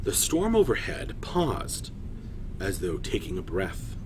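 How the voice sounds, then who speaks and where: Very slow, dramatic male storyteller. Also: low voice, huge pitch swings, a little mellow, a little hiss or hum. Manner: dramatic, deliberate pauses. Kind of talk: scripted fantasy story narration.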